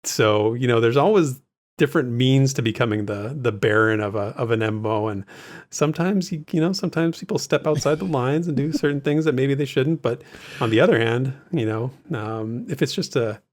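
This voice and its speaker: Male podcast host, medium pitch, easygoing and chatty, casual community recap delivery. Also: a little fast. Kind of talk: casual gaming news recap, reading listener posts aloud.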